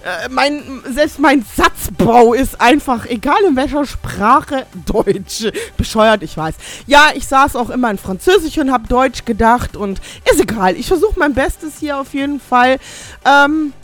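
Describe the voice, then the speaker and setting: A middle-aged woman hosting a casual radio show, rambling and self-mocking, reading listener messages in halting broken English. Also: sits high, animated.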